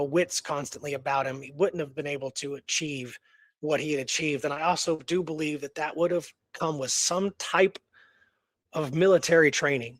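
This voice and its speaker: A man with a fairly high voice co-hosts a casual history discussion. He talks conversationally, thinking aloud with frequent "you know"s. The sound is very dry, with no room around it. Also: fairly bright tone, dry recording. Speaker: co-host of a history show.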